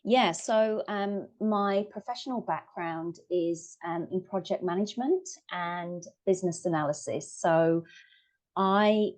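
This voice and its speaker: A woman, low in pitch, talks as a podcast guest. She chats casually, saying yeah a lot and restarting her sentences. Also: unhurried, darkish tone, poor audio. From Manchester, England. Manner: chatty, many yeahs and self-corrections. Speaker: guest, family wellbeing coach. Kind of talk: casual interview chat.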